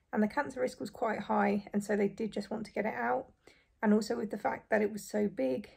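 Hoarse young woman, croaky. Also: medium pitch, darkish tone. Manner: chatty, relieved, frequent light laughs. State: croaky, hoarse after surgery. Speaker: vlogger. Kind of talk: casual talk to camera. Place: small bedroom, indoors. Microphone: phone or camera close in front.

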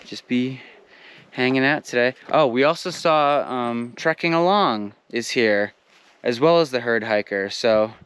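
A man vlogging casually on a hiking trip, chatting in a relaxed way with plenty of fillers, recorded outdoors at a campsite. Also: mid-range voice, unhurried, smooth. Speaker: vlogger, thru-hiker. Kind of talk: casual vlog chat. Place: outdoors at a mountain tent site.

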